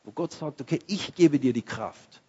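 A man preaches in a loose, conversational way, often restarting his sentences. His voice is husky and sits at a middle pitch. The recording is dull and lo-fi. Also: expressive, moderate pace, a little mellow. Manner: conversational, with restarts and searching for words. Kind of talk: sermon.

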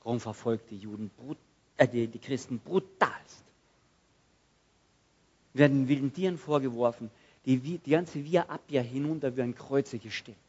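Husky German preacher with sudden loud bursts. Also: male voice, mid-range voice, a little slow, pauses often, muffled recording. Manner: dramatic swings from loud to hushed, acts out prayers. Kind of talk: sermon.

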